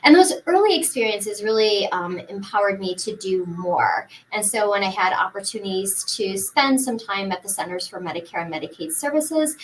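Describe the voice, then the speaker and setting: Woman in her forties, podcast guest, earnest, fluent, chatty, mid-pitched, plenty of ums. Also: lively intonation, moderate pace, hardly any pauses, a little hiss or hum, poor audio. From United States. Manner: earnest, fluent, with frequent fillers and restarts. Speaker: pharmacist and guest expert. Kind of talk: conversational podcast interview.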